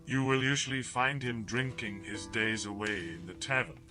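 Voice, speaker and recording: An actor playing a businesslike guildmaster in a fantasy audio game, brisk and instructing. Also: male voice, mid-range voice, sharp tone, natural voice. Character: businesslike guildmaster handing out quests in a fantasy town. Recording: plucked guitar music behind the voice. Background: guitar music.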